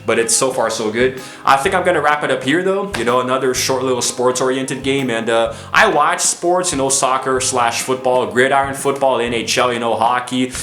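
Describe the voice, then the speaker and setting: A man's voice: medium pitch, brisk, hardly any pauses, fairly bright tone, echoey room, soft background music. A man vlogging to camera about his views, chatty and tired, often saying "you know", from Brazil. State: tired and low on energy. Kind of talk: casual opinion vlog to camera. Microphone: camera mic, filming self.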